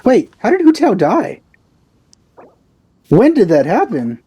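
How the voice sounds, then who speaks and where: Mellow-voiced man commentating on a game. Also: high-pitched, huge pitch swings, slow, slightly rough. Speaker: let's play host. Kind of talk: casual gameplay commentary. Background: game music.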